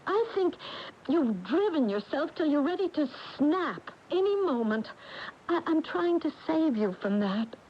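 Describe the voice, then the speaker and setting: A worried, insistent middle-aged wife. Her fairly high voice moves with lots of expression, coaxing as in classic radio drama. The recording is a dull broadcast. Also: big pitch swings, a little slow, slight background noise. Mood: pleading. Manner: pleading, insistent, affectionate. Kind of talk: 1980 radio drama acting.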